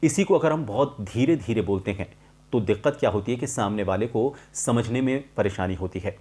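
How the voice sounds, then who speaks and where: A middle-aged man explaining a lesson to viewers as a voice coach, in a deliberate, emphatic manner with clear pauses. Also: lowish voice, a little mellow. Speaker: voice-over artist and voice coach hosting a tutorial.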